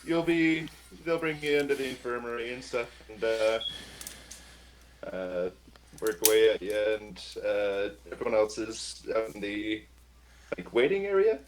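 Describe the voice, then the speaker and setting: A man acting as game master, narrating a tabletop role-playing scene in a casual, loose, halting way. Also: fairly low pitch, a little hiss or hum, poor audio.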